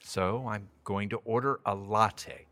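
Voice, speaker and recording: A man's voice: on the low side, steady tone, slow pace, a bit bright. An actor playing an earnest, quirky, self-doubting young man anxious about a date in an audio drama. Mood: quirky, flustered.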